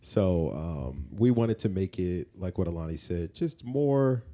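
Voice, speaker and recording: Man, deep voice, dark soft tone, casual podcast co-host, informal, agreeable. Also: expressive, unhurried. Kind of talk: casual conversational podcast chat. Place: indoors, relaxed seated setup.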